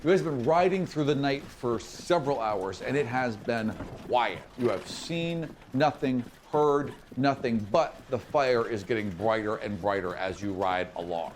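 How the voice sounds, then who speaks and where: A man narrating a tabletop game as game master, with vivid, drawn-out descriptive delivery. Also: medium pitch. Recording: noisy, with ambient sound behind.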